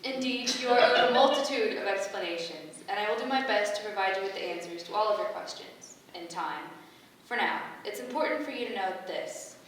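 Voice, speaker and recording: A woman playing a calm, motherly, goddess-like being with a stately, formal way of speaking, in a staged play reading. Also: mid-range voice, a little fast, lightly acted. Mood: solemn. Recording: echoey room, distant mic, background noise.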